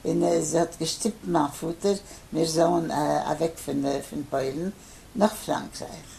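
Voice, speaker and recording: An older man from Poland, a native Polish speaker, gives a hesitant interview answer full of pauses and fillers. His voice sits low and gravelly, with a dark, mellow tone. A faint hiss of noise runs underneath. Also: fairly even intonation. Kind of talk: halting interview in Yiddish.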